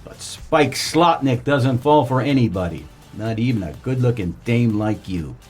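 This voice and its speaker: A man playing a wisecracking, deadpan 1940s private detective in a comedic noir radio play, with terse, slangy tough-guy delivery and a husky voice. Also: medium pitch, a little slow, faint music behind. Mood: smug, accusing. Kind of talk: comedic noir radio play, first-person narration and dialogue.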